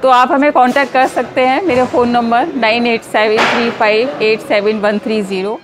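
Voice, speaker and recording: A middle-aged woman explaining her handmade products at an exhibition stall, unhurried, mixing Hindi with English. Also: on the high side, fairly even intonation, background noise. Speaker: startup owner showing products. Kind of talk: casual product walkthrough at a stall. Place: indoor exhibition hall stall. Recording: busy expo crowd behind.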